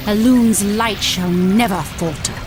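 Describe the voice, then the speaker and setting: A woman's voice: mid-range voice, a little slow, over music, natural voice. A woman playing a proud, fierce elf warrior priestess in a fantasy game, with a regal, dramatic delivery. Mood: battle-fierce.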